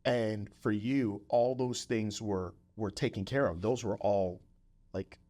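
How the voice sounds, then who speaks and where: Low-voiced man chatting as an interviewer. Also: fairly even intonation, slow, warm tone. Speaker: podcast interviewer, physical therapist. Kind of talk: casual interview chat.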